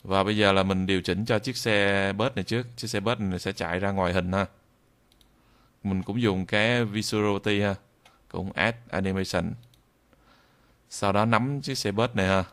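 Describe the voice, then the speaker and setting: A man with a southern Vietnamese accent giving an improvised software tutorial, halting and repeating phrases as he demonstrates. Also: fairly low pitch, fairly even intonation, clear, resonant tone. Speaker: tutorial instructor.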